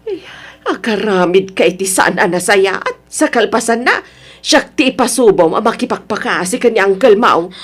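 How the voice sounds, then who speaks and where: A woman's voice: mid-range voice, lively intonation, moderate pace, slightly rough. A woman playing a worried, scolding middle-aged mother in a radio drama, reproachful but caring. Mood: scolding, dismayed. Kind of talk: radio drama acting in Ilocano.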